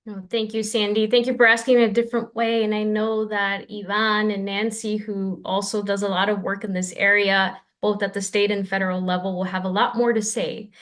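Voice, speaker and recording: A woman's voice: medium pitch, little pitch movement, fairly quick, few pauses, fairly bright tone. A woman speaking as a state aid official, giving firm, reassuring remarks at a news briefing over a remote call.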